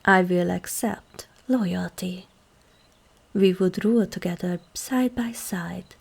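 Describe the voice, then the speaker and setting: A woman playing a regal, seductive ancient demon queen in an ASMR roleplay, measured and commanding, with a husky, breathy, creaky voice that often softens toward a whisper. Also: fairly low pitch, heavily acted. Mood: solemn, persuasive. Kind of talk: ASMR roleplay, scripted monologue to the listener.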